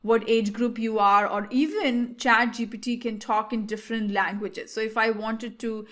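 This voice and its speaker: Higher-voiced woman explaining software. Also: fairly even intonation, moderate pace. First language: Hindi. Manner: explanatory, with frequent fillers and restarts. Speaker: tutorial host. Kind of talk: screen-share software tutorial.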